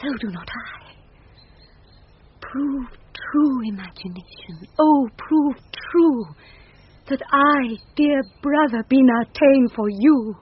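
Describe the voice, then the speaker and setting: A woman's voice: high-pitched, takes it slowly, a little hiss or hum. An actress playing an anxious young noblewoman disguised as a youth, speaking earnest formal verse in a classic Shakespearean radio drama. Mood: hopeful wonder. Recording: dull, band-limited 1970s radio recording.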